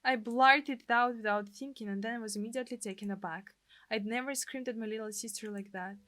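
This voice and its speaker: Bright-voiced Russian-accented woman gamer. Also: a little high, fairly even intonation, fairly quick. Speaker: gaming streamer. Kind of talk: live read-aloud translation of game dialogue with narration.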